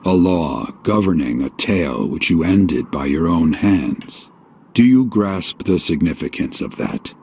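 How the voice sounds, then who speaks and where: A man's voice: low-pitched, animated, measured pace, creaky, darkish tone, a little hiss or hum, heavily acted. A man playing an enigmatic, knowing guide in a video game, with formal archaic diction and a theatrical, portentous delivery. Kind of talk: dramatic video game cutscene acting. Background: game music.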